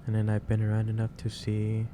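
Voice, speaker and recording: A man playing a gentle, caring office boss in an ASMR roleplay, speaking in a hesitant, reassuring way. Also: fairly low pitch, completely flat delivery, fairly quick, lightly acted. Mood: fond, concerned. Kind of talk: ASMR roleplay, soft improvised monologue. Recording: clear background noise, ambience.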